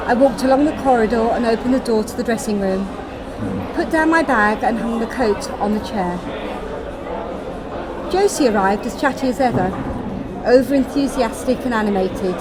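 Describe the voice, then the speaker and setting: A woman narrating her own short story in the first person, with acted dialogue. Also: on the high side. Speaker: author reading her story. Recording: loud crowd noise in public room.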